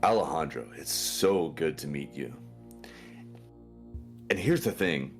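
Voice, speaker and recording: A man playing a smarmy, overfriendly FBI agent in a tabletop game, speaking in an ingratiating, deliberate way. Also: a bass voice, lively intonation, soft background music, full character voice. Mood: smarmy, persuasive. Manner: ingratiating, overly polite, deliberate.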